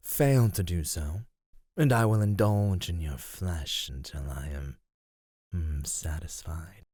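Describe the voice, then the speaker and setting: A man playing an ancient underground monster in an ASMR roleplay, speaking in a measured, menacing, teasing way with formal wording. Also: low voice, darkish tone, husky, no room sound, studio quality, full character voice. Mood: menacing, hungry. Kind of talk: ASMR roleplay, slow intimate scripted acting.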